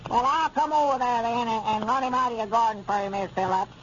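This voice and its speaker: An actor playing a folksy, fretful country storekeeper and constable with a rural Southern American accent in 1930s radio comedy. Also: male voice, extremely high pitch, fast talker, a bit bright. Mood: obliging. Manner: homespun, questioning. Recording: muffled, noisy 1930s radio recording.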